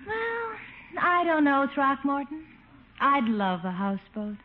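A woman's voice: high voice, big pitch swings, slow pace, exaggerated voice. An actress playing a gracious, dreamy, genteel lady friend in a 1950s radio comedy. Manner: leisurely, genteel, wistful. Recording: noisy muffled old radio, audience behind.

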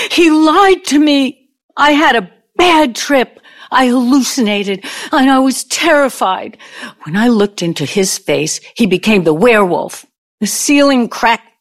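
A woman playing a wounded, vengeful older ex-girlfriend in an audio play, speaking in a dramatic, pointed way. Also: on the high side. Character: bitter, wounded, vengeful ex-girlfriend from mogul's college days.

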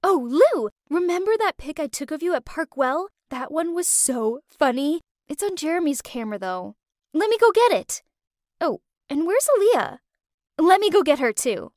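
A young woman speaks in a shrill, emphatic way, voiced by the narrator. Her voice is high and swoops widely. The recording is dry and close. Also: sing-song, moderate pace, darkish tone, no room sound. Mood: excited. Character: young woman friend, excitable then furious.

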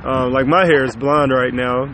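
A man's voice: medium pitch, fairly even intonation, normal speed, a bit bright, a little hiss or hum. A man in his late twenties co-hosting a podcast in casual chat, laid-back and slangy, often ending lines with "man". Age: 20s. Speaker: podcast co-host.

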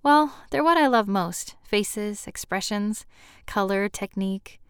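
A woman's voice: medium pitch, a little slow, clear, resonant tone, dry recording, studio quality, lightly acted. A woman voicing an outspoken, earnest woman in an audiobook, with a pointed way of talking. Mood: candid. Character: outspoken, indignant liberal woman painter. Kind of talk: audiobook character dialogue.

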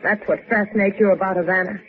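A woman's voice: mid-range voice, steady tone, fast, soft background music, close to an everyday voice. An actress playing a worldly, dry, teasing woman in a 1950s radio drama, with a wry, pointed manner. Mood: cool, probing. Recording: narrow-band old 1950s radio recording, hissy.

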